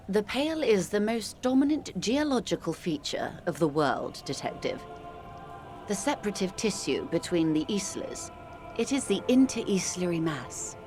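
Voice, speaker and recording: A worldly, composed woman of mature years speaks in a lowish register. She is eloquent and measured, with gentle condescension fit for narrative game dialogue. Music plays clearly beneath her. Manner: measured, eloquent, calls the listener dear and darling. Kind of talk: dramatic video game dialogue. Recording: music playing under the voice.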